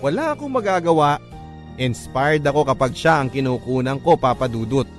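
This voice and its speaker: A man's voice: mid-range voice, lively intonation, normal speed, soft background music. A man with a Filipino accent reading a listener's letter as scripted, dramatic radio narration.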